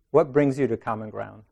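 A man leading a group discussion in a meeting room. He talks in a relaxed way, laughing often and restarting his sentences. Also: mid-range voice, creaky, lo-fi sound. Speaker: meditation group leader.

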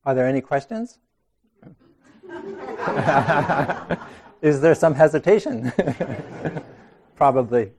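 A man leading a group discussion in a meeting room. He talks in a relaxed way, laughing often and restarting his sentences. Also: a little high, drawn-out words, pauses often, husky. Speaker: meditation group leader.

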